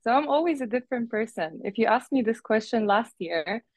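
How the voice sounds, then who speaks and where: A woman's voice: a little high, animated, fairly quick, darkish tone, no room sound. A young woman from Uzbekistan, a fashion model guest, telling stories in a casual interview and laughing often as she talks. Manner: chatty storyteller who laughs often and acts out quoted voices.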